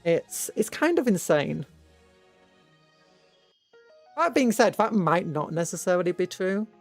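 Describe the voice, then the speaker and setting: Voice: medium pitch, sing-song, normal speed. A British VTuber streamer chatting casually to viewers in a rambling, musing way, often stopping to correct themselves. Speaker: VTuber livestreamer. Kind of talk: casual livestream chat with viewers. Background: background music.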